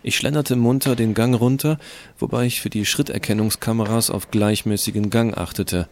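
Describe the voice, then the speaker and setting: A man's voice: lowish voice, steady tone, moderate pace, close to an everyday voice. A man narrating as a sardonic, self-assured teenage hacker in a first-person audio drama, casual and wry. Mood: smug. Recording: clearly audible hiss.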